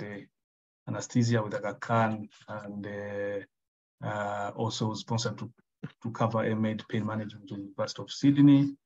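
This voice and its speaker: A man's voice: lowish voice, a little mellow. Man lecturing over a dull webinar line. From Uganda. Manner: hesitant, many fillers and restarts. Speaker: guest lecturer, pain specialist. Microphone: video call. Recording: dull lo-fi call audio.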